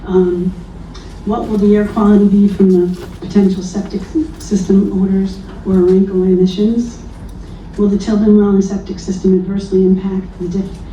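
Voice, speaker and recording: A woman from New Lebanon, New York, reading a prepared public comment in a measured, earnest way at a town hearing. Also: medium pitch, dark tone, a little hiss or hum. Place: town hall meeting room. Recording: echoey distant lo-fi hall recording.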